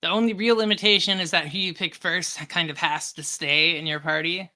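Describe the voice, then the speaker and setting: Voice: medium pitch, fairly even intonation, fairly quick, bright, forceful tone. A podcast co-host chatting about games in an informal, easygoing conversational way. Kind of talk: casual chat about video games.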